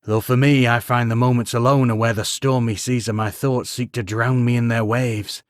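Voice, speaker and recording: A man's voice: mid-range voice, fairly even intonation, moderate pace, dry recording, clean studio sound, close to an everyday voice. A man voicing a humble warrior lord burdened by guilt, who speaks in an earnest and restrained way.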